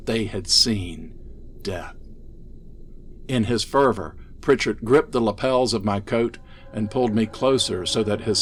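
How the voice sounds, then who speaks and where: A man reading a gothic horror story in a measured, theatrical, ominous manner, as an author narrating his own tale. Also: fairly low pitch, a little hiss or hum. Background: music.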